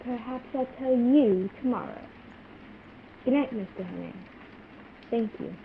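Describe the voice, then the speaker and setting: A woman playing a frightened, secretive spy in an old-time radio play, halting and dramatic. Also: fairly high pitch, creaky. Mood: uneasy. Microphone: video call. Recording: muffled, noisy video call audio.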